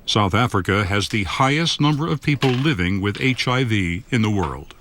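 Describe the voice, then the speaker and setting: A man giving scripted narration for a television documentary special in a measured, even delivery. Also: fairly low pitch, a little hiss or hum.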